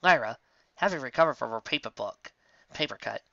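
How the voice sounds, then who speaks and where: Male story narrator, expressive scripted read, animated pitch, dry close sound. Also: fairly quick, vocal fry, no room sound, highs cut off.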